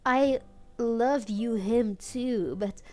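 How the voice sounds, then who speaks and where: A woman's voice: a little high, extremely slow, darkish tone. A woman livestreaming a read-aloud of fan fiction on a headset, playful and mock-dramatic, with amused asides. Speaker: streamer and host.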